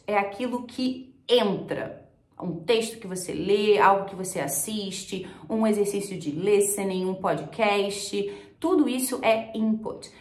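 A woman's voice: a little high, reverberant. A young woman from Rio de Janeiro with a Carioca accent, teaching an online lesson straight to camera and pressing her points with rhetorical questions. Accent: Carioca Brazilian Portuguese. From Rio de Janeiro, Brazil. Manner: emphatic, talks straight to the viewer with rhetorical questions. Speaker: English teacher. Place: small indoor room with plants and shelves.

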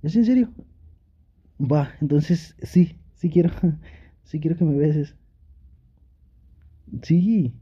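A man's voice: fairly high pitch, expressive, takes it slowly, long pauses, warm tone, slightly rough, dull audio, exaggerated voice. A young man playing an affectionate, teasing, pouty boyfriend in an intimate ASMR roleplay, talking playfully and pleadingly with sweet pet names. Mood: eager, surprised. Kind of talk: Spanish ASMR boyfriend roleplay, intimate one-sided dialogue.

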